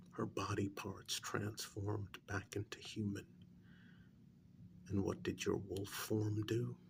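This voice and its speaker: Man acting a veteran male werewolf agent, ASMR role-play, very slow, breathy near-whisper, gravelly, quietly menacing, faint background noise. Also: lowish voice. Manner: slow, deliberate, pausing between short phrases. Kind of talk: soft-spoken ASMR role-play monologue.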